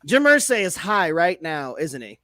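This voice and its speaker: A man with a high voice that swings widely in pitch, riffing sarcastically on sports. He is a podcast co-host with a profane, casual style, recorded close and dry. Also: very animated, normal speed, bright, forceful tone, no echo. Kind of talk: casual sports comedy banter, reading his notes.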